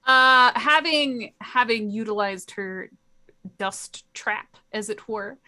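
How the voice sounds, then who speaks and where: A woman's voice: on the high side, takes it slowly, pushed and bright. A woman playing a tabletop role-playing game, talking casually about rules and her character's moves.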